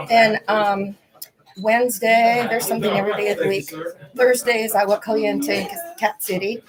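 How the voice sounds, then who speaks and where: A woman guest chatting casually in a rambling, halting way, her voice a bit hoarse. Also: medium pitch, low-quality recording. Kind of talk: casual chat.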